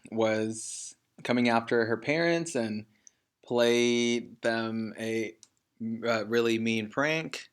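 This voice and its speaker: A man reading a children's book aloud like a teacher, expressively and with deliberate emphasis on key words. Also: medium pitch, smooth.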